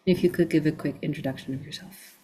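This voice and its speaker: A young woman asking prepared interview questions clearly, as if reading from a script. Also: low-pitched, steady tone, quick pace, dark tone, slight background noise. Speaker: student interviewer.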